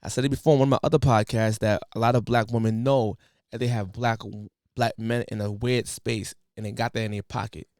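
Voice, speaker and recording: A young man from the United States hosting a podcast, ranting in an animated, preachy way with casual slang. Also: mid-range voice, brisk, dry recording, studio quality. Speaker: podcast host.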